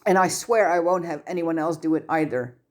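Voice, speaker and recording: A woman's voice: sits low, fairly even intonation, brisk, close to an everyday voice. Woman doing a teenage wizard boy, clever and clueless with people, earnest and a bit dramatic, storybook reading. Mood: hasty, innocent.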